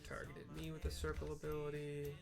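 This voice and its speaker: Low-key man with a creaky voice. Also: completely flat delivery, a little fast, music in the background. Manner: low-key, hesitant, thinking aloud. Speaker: gameplay streamer. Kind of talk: casual game commentary with narrated descriptions of the action.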